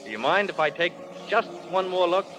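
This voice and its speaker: An actor playing a charming, jittery, self-mocking husband and fallen star in vintage radio drama style, with light, faltering banter. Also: male voice, high-pitched, expressive, a little fast, noisy background. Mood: playful, wistful. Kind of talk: 1930s-40s radio drama acting. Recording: old radio recording with music behind.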